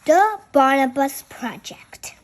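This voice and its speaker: A storyteller reading a picture book aloud in a careful, halting way, with childlike, chatty asides about the pictures. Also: high-pitched, lively intonation.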